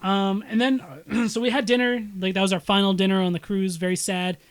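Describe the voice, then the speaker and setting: A young man from Canada co-hosting a podcast, telling a travel story in a rambling, excited way, swearing freely and filling his talk with "like.". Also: sits high, brisk, smooth. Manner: excited, rambling, heavy swearing, many "like" fillers. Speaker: podcast co-host. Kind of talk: casual chat.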